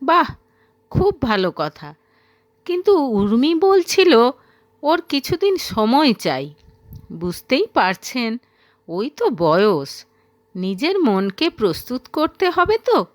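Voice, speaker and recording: A woman's voice: on the high side, very animated, takes it slowly, pauses often, dry recording. A woman voicing a polite, agreeable middle-aged father speaking in a courteous, conciliatory way in an audio story. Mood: pleased, placating.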